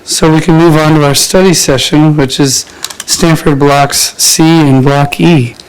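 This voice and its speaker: A man's voice: fairly high pitch, steady tone, unhurried, clean tone, low-quality recording. Man with a cold chairing a meeting. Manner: procedural, hesitant with fillers. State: recovering from a cold, voice weak. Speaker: committee chair. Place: council chamber.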